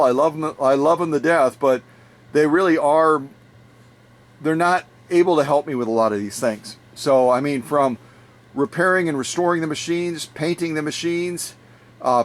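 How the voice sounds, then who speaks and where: A middle-aged man hosting a casual vlog from his home workshop, chatting with viewers in a rambling way, often repeating and restarting phrases. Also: on the high side. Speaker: vintage sewing machine restorer and channel host.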